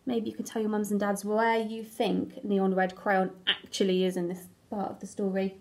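A woman's voice: mid-range voice, monotone, normal speed, darkish tone. A young woman reading a children's picture book aloud expressively, like a teacher to small children. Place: furnished room at home.

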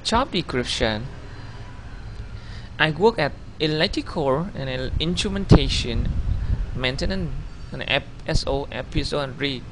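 Vietnamese-accented man, 30s, gravelly voice, slightly high, halting self-corrections, faint background hum. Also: expressive. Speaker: job applicant. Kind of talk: video CV voice-over over slides.

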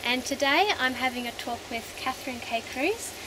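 A woman's voice: high voice, animated, normal speed. A woman interviewer giving an upbeat introduction, recorded outdoors in a garden. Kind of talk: friendly spoken introduction. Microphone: camera mic at a few meters. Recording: outdoor noise behind the voice.

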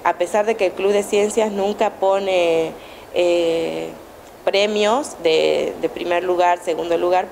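A woman's voice: on the low side, vocal fry, darkish tone, slight background noise, rough recording. A woman, a teacher answering news interview questions with many fillers and restarts. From Argentina. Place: indoor room at a school event. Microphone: handheld TV station microphone held close.

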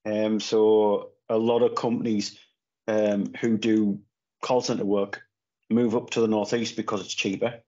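A man's voice: lowish voice, flat delivery, a little slow, mellow. Rambling man on a call. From Northeast England. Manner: rambling, full of restarts and fillers. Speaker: podcast co-host. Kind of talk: casual podcast chat. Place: small room at home, indoors. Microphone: phone camera on a video call. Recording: slightly dull lo-fi call audio.

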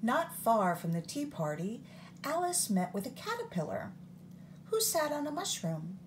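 A woman reading a picture book aloud in an expressive storytime manner, recorded on a phone held over the book. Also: on the high side, measured pace, a little hiss or hum.

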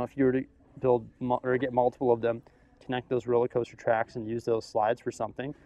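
A man's voice: mid-range voice, dark tone. Young man vlogging in a store aisle. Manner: chatty, thinking aloud about prices. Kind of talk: casual shopping vlog. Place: large store aisle, indoors. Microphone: camera held at arm's length.

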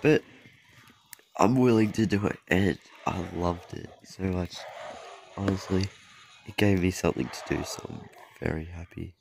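A man's voice: deep voice, a little slow, creaky, a little mellow, a little hiss or hum, low-quality recording. A young man casually commenting on a mobile game he plays, rambling and correcting himself, with his voice a little off from being sick. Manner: rambling, self-correcting, chuckles often. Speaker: mobile game channel host. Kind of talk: gameplay commentary over a screen recording.

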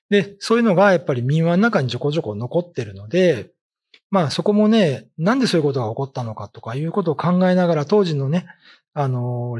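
Animated, husky middle-aged man explaining folklore. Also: fairly high pitch. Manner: conversational, explaining with everyday examples. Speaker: ghost story writer and commentator. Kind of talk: casual explanatory talk on folklore. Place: indoors, seated at a desk. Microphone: desk microphone with pop filter.

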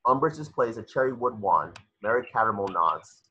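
A man narrates a screenplay at an online table read. His voice is fairly low yet bright and forceful, with a husky roughness. The call makes it slightly lo-fi. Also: animated, a little fast. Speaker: narrator reading stage directions. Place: home, video call. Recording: dull, lo-fi video call.

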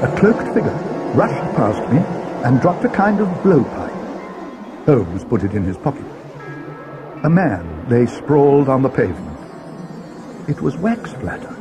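Man, mystery storyteller, schoolboy companion recounting adventures, animated pitch, music behind, scripted read-along. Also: unhurried, pauses often. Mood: measured, grave. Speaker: narrator.

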